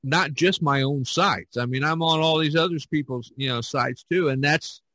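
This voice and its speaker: A man hosting a podcast in casual conversation, talking in a folksy, rambling, halting way. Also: on the high side, bright, forceful tone, no echo. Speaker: podcast host.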